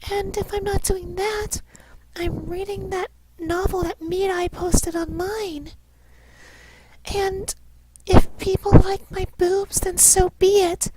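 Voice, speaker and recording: A woman plays a shy, gloomy anime girl with a very high voice. She is timid and hesitant, in a cartoon parody with faint noise behind. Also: fairly even intonation, slow pace. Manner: hesitant, soft-spoken stammer.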